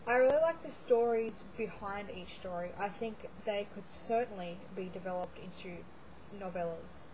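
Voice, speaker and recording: A woman's voice: mid-range voice, moderate pace, darkish tone, a little hiss or hum, poor audio. A woman starting to lose her voice, rambling chattily through a reading wrap-up with lots of fillers and restarts. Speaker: book blogger. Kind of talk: casual monthly reading wrap-up vlog.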